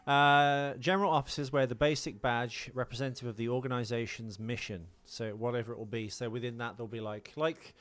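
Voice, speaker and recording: A man's voice: mid-range voice, steady tone, brisk, hardly any pauses, a bit bright. A man co-hosting a podcast, chatting casually about a film in a musing, wandering way. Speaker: podcast co-host. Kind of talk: casual film commentary chat.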